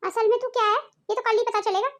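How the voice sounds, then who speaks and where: Woman voicing a very high-pitched scheming mother-in-law. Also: steady tone, quick pace. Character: scheming but guilt-ridden middle-aged Indian mother-in-law. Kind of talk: Hindi cartoon family drama dialogue.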